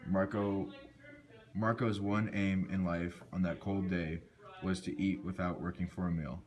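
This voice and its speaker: A man with a low voice narrates a folk tale from a script. He reads it out as a storyteller, now and then tripping on a word and correcting himself. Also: steady tone, measured pace, darkish tone. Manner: stumbles and corrects words while reading.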